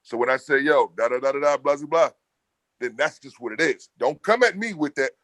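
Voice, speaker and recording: A middle-aged man with a bass-heavy voice, arguing loudly and assertively in a casual panel debate. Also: a little fast, no room sound. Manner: loud, assertive, argumentative. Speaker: podcast panelist.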